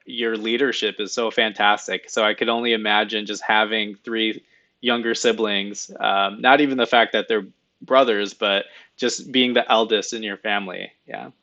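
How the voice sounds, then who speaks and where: A man's voice: lowish voice, fairly even intonation, moderate pace, highs cut off. Friendly guy hosting a podcast, casual and encouraging, some ums. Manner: friendly, warm, encouraging, fillers. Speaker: podcast host. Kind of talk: casual interview chat.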